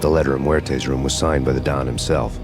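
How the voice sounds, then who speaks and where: A hardboiled noir cop narrates in a gravelly, deadpan monotone. His voice is extremely deep and barely moves, with music underneath. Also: a little fast.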